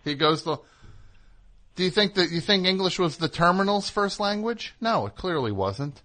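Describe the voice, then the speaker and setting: Man, radio host, excitable, rambling, bright tense voice, casual comedy chat. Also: normal speed. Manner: excitable, rambling, jumps between high and low. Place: radio studio.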